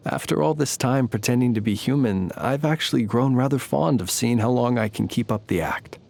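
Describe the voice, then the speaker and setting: An actor playing a courtly, ancient inhuman gentleman soldier in a video game, speaking in a polite, formal, measured and wryly understated manner. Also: medium pitch, creaky. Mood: courteous, reflective. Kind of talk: scripted game character voice lines.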